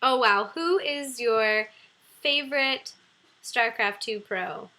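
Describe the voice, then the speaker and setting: A woman's voice: fairly high pitch, slow, sharp tone. A young woman hosting a casual livestream from home. She is bubbly, laughs often and hums to herself while reading viewer questions. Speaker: livestream host. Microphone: computer webcam mic.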